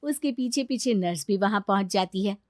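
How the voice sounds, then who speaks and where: A woman's voice: mid-range voice, normal speed, darkish tone, no room sound, studio quality. A woman narrating a scripted moral story for a cartoon.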